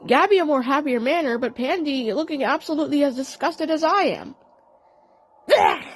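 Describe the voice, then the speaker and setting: A woman narrating a fan audio play in the first person, telling the story in an earnest, deliberate, dramatic way. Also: high-pitched, expressive.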